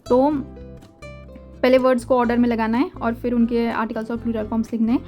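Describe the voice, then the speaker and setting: Woman teaching german, kinda high voice, patient, goes over words again and again, faint music in background. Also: little pitch movement, dark tone. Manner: patient, repeats words slowly, mixes Hindi and English. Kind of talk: explaining textbook exercises.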